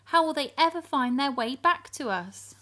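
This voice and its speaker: A woman's voice: high voice, expressive, moderate pace, bright, forceful tone, voice pushed up. A woman voicing a kindly, watchful teapot uncle in a children's storybook, sounding concerned and exclamatory. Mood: worried.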